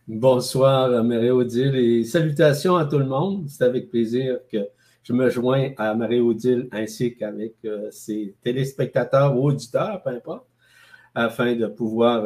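Older Québécois man, mellow and measured. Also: mid-range voice. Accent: Québécois French. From Quebec City, Canada. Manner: slow, measured, many hesitations. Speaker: guest. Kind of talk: spiritual interview talk. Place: home room with plants. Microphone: video call.

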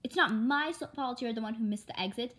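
A woman's voice: on the high side, fairly quick, fairly bright tone, voice pushed up, lightly acted. A woman reading a storybook voices a harried, exasperated mother, snapping and urgent. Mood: frustrated. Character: harried, exasperated mother driving her kids on a road trip.

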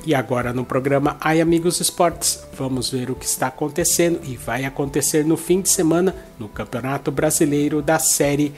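A man's voice: on the high side, a little fast, few pauses, a little mellow. A man with a Brazilian accent hosting a sports program, methodically reading scripted standings and fixtures in an announcer's manner. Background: background music.

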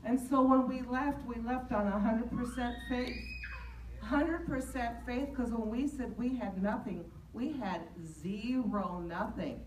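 Older female, earnest church testimony, unhurried and emphatic, echoey distant sound. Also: a little high, a little mellow. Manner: deliberate, emphatic. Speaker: church member giving testimony. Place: large church sanctuary. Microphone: handheld mic, picked up by distant camera. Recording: echoey church from far off, faint noise.

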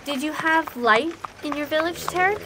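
A woman's voice: high voice, moderate pace, noisy background, close to an everyday voice. An actress playing a devout, inquisitive young apprentice woman in a fantasy audio drama.

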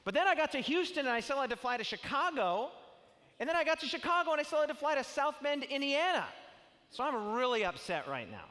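A middle-aged male pastor speaking to a campus audience from a stage, telling jokes and stories in an animated way. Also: extremely high pitch, fast talker. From not Australian, lives in Australia. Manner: animated, joking storyteller with big gestures. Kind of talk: sermon with opening prayer. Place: large auditorium stage with audience. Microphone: headset mic. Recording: auditorium with long reverb and faint music.

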